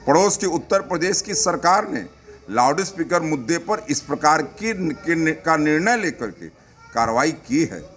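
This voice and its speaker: A man's voice: on the high side, animated, unhurried, fairly bright tone. A man speaking as a politician, making a statement to the press.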